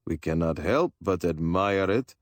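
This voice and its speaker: Stubborn old papa, man doing him, polite but firm, low and slow. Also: animated, no echo, clean studio sound. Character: stubborn older male rug repairer, a father.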